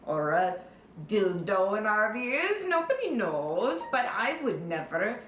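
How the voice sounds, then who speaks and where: A woman's voice: medium pitch, big pitch swings, slow, fairly bright tone, a little hiss or hum. A woman playing a scheming foreign caregiver, speaking broken English in a sly, sing-song way in an improvised comedy sketch. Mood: soothing. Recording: roomy echo.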